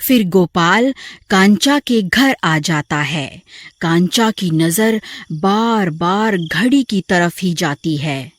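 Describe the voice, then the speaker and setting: A woman's voice: on the low side, lively intonation, takes it slowly. A woman narrating a scripted horror story.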